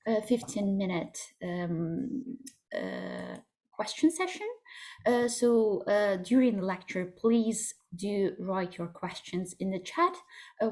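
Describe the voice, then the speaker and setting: Slow, halting woman moderator. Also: mid-range voice, darkish tone. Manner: halting, many fillers. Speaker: event moderator. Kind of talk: hesitant introduction. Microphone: video call.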